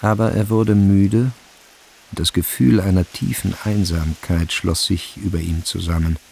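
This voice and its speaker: A man narrating a literary radio play in a measured, solemn storyteller's manner. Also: low voice, fairly even intonation, vocal fry, darkish tone. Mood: grave. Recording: faint background hiss.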